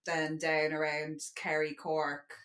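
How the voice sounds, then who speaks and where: A woman's voice: low-pitched, completely flat delivery, slow pace, fairly bright tone. A woman with an Irish accent co-hosting a podcast, explaining things in casual chat. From Ireland. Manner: chatty, explanatory. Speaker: podcast co-host.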